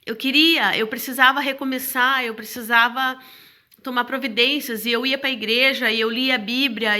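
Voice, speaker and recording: A woman's voice: sits high, bright, forceful tone. A middle-aged woman with a cold sharing an earnest devotional message, stacking repeated phrases as she talks. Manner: earnest, repetitive, preachy. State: hoarse from a cold.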